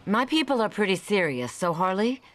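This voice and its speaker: A woman's voice: mid-range voice, very animated, normal speed, heavy background noise, natural voice. A woman playing a noble, confident warrior superheroine in a video game, composed and authoritative. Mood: commanding. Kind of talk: animated video game cutscene acting. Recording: loud music behind the voice.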